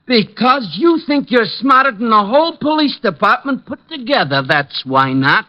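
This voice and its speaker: Dumb, huffy police sergeant: whiny, wildly lively pitch, 1940s radio comedy, lo-fi sound. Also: male voice. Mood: sulky, offended. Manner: whiny, sulky, slow-witted. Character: dim-witted, touchy male police sergeant, easily offended. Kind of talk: 1940s comic radio acting. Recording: dull lo-fi old radio recording.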